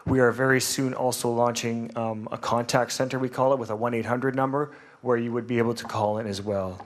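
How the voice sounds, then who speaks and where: A man speaks to a community meeting in a measured, steady way, pausing on small fillers. His voice sits at an ordinary middle pitch. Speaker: Red Cross representative. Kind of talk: public meeting statement.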